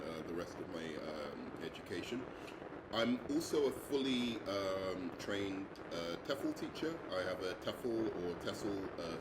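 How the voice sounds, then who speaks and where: A man's voice: sits low, heavy background noise. Hesitant London man, echoey room. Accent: English, London. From England. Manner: hesitant, many ums and uhs. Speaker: English teacher. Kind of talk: self-introduction video.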